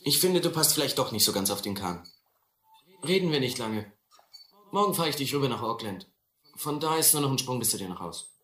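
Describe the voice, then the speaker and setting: A proud young thief played by a man in a radio play, blunt and curt. The bright, lively voice has a roomy echo. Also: medium pitch, brisk, long pauses, natural voice. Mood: cool, dismissive. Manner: curt, street-tough. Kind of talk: German radio play acting.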